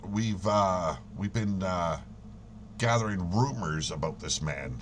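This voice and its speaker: A man's voice: sits low, slow, slight background noise. A man co-hosting a casual wrestling storyline chat in a folksy, crude, theatrically indignant manner. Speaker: co-host of a wrestling podcast.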